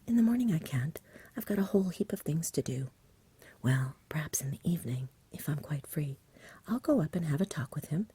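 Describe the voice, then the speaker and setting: A self-assured country boy from a storybook voiced by a woman speaks in a very breathy whisper. He is polite and matter-of-fact, a little weary, with a soft mellow tone for ASMR reading. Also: low voice, no echo, heavily acted.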